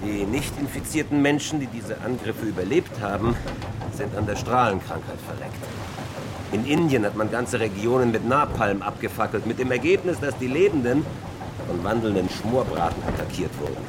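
An actor playing a grim military officer in a horror radio play, matter-of-fact and bitter. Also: male voice, on the low side, lively intonation, normal speed, noisy background, close to an everyday voice. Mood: bitter, defensive. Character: grim, blunt male military officer surviving the apocalypse. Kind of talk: horror radio drama dialogue. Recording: music bed under the voice.

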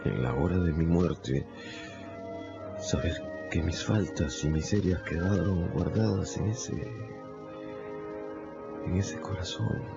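An actor in a radio drama playing a dissolute, self-loathing gentleman in love, speaking haltingly and fervently with a gravelly voice. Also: deep voice, full character voice. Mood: anguished devotion. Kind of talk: Spanish-language radio drama acting. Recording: dull lo-fi audio under loud music.

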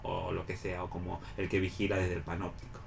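A man's voice: deep voice, completely flat delivery, a little fast, creaky, slight background noise. Argentine man thinking aloud on radio. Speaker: radio panelist discussing theatre. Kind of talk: reflective conversational discussion.

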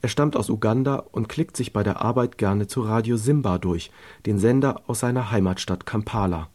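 A man with a fairly low voice narrates a radio feature. He reads calmly and clearly, like someone passing on information. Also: normal speed. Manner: calm, informative reading.

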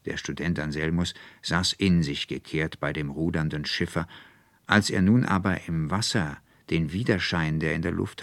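A man narrating a literary fairy tale as an audiobook, in a measured, expressive and deliberate manner. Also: low voice, vocal fry, no room sound.

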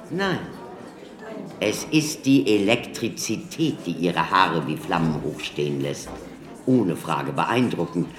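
A man in a German radio drama playing a courteous, persuasive showman of science with a grandiloquent, theatrical manner. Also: deep voice. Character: showman doctor giving electricity demonstrations, courteous, theatrical, persuasive. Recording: echoey hall with audience murmur.

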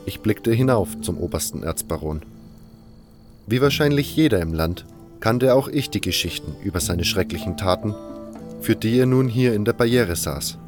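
A man narrating a fantasy audio drama in the first person, reading from a script. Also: lowish voice.

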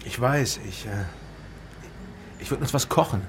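A man's voice: mid-range voice, a little hiss or hum, close to an everyday voice. An actor playing a hesitant, awkward, pleading man in a radio drama. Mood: hesitant, hopeful. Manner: halting, awkward. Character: hesitant, pleading man courting a distant woman.

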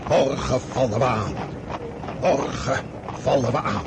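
An actor in a radio drama playing a proud, stubborn Russian commander-in-chief who speaks in a haughty, declamatory way. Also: male voice, medium pitch, measured pace, lightly acted. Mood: solemn resolve. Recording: heavy outdoor battlefield noise behind.

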